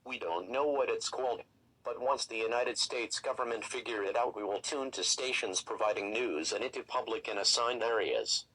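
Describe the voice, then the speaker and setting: A man's voice: medium pitch, moderate pace, pushed and bright, husky, poor audio. A man playing a formal, impersonal announcer reading a scripted emergency broadcast message.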